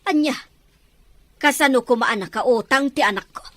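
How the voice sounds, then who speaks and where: A woman's voice: sits high, normal speed, no echo, lightly acted. A woman playing a haughty, hot-tempered middle-aged mother in a Filipino radio-style drama, scornful and scolding. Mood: haughty, suspicious.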